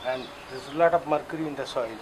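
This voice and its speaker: A man's voice: mid-range voice, steady tone, fast talker, distant mic. A middle-aged man, speaking as a guide and explaining things on site. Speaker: guide at a derelict factory site. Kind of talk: explanatory on-site tour talk. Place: outdoors at an abandoned industrial site. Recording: clear outdoor ambient noise.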